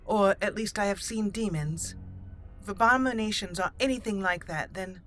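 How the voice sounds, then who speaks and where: A woman improvising in character as she plays a fantasy game, speaking haltingly and thoughtfully in formal phrasing. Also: mid-range voice, fairly bright tone. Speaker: gaming channel host, roleplaying player. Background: game music.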